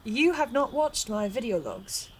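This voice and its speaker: A woman playing an anxious, earnest archivist haunted by supernatural fears, speaking haltingly in a conversational audio drama. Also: on the high side, wildly swooping pitch, a little hiss or hum. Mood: uneasy, guarded.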